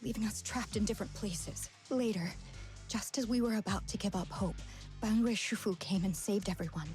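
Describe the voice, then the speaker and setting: A woman playing a gentle, worried senior temple disciple in a video game story, speaking with solemn, measured earnestness. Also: mid-range voice, natural voice. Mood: earnest, worried. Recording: game music behind the voice.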